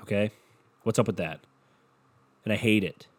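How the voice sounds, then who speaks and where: A man's voice: lowish voice, moderate pace. A stuffy-nosed young man with a sore throat, hosting a podcast alone and rambling in a loose, profane, joking way. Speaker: podcast host. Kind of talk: casual solo podcast rant.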